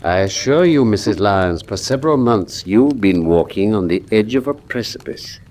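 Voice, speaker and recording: A man playing a shrewd, courteous, commanding gentleman detective in a radio drama, with a precise, formal delivery. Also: lowish voice, animated, moderate pace, lightly acted. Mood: firm, probing.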